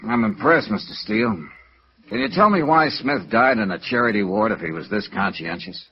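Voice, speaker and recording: An actor playing a wry, hardboiled, middle-aged insurance investigator in a clipped, matter-of-fact 1950s radio noir style. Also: male voice, fairly low pitch, lively intonation, moderate pace. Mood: dry, probing. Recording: muffled vintage radio recording with music.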